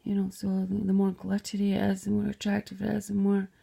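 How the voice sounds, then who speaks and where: Scottish woman, gravelly low-key voice, rambling and sweary, drops to whispers. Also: completely flat delivery, fast, dark tone. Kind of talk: casual dream retelling to camera.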